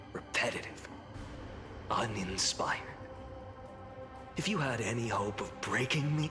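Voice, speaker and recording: An actor playing a weary, defiant fallen hero in a video game, solemn and grandiose with bitter scorn. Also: male voice, medium pitch, natural voice. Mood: cold disdain. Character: weary, defiant male fallen hero burdened by countless lifetimes. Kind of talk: dramatic scripted video game acting. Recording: loud music behind the voice. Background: game soundtrack music.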